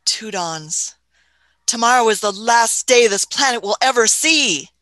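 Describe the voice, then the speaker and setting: Radio play: actress as an elderly, eccentric woman time traveler. Bright, hesitant, self-satisfied, affectionate, fussy. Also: fairly high pitch, animated, unhurried, no room sound. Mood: grave musing. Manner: hesitant, muttering, chuckling old-fashioned speech.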